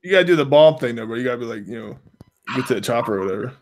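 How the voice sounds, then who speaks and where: A hoarse man hosting a casual podcast, rambling in loose slang and laughing between lines. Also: medium pitch, animated, rapid-fire, noisy background.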